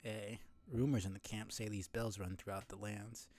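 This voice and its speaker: A man's voice: lowish voice, level tone, normal speed, darkish tone. A man voicing a weary, devout bug pilgrim from a video game, solemn and welcoming in old-fashioned phrasing. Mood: hushed, devout. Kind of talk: reading game dialogue aloud.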